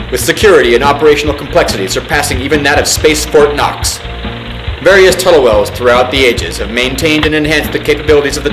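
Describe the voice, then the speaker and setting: A man acts as an officious narrator of a secret government film. His voice sits fairly high for a man, with grave, pompous, deliberate delivery. It rings with echo over clear music. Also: lightly acted. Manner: formal, pompous, deliberate enunciation. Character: solemn male military briefing narrator, grave and officious.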